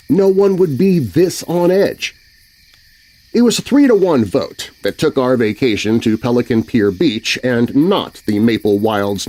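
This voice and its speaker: A man reads as a shaken kid narrator in a scary storybook. The telling is dramatic and very animated in pitch, grumbling and expressive, broken by deliberate pauses. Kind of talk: first-person scripted story narration.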